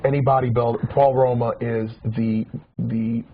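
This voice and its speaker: A man's voice: medium pitch, level tone, moderate pace. A man in his forties reminiscing in a casual talk show chat, rambling with many restarts and repeated words. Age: 40s. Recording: dull lo-fi sound with faint hiss.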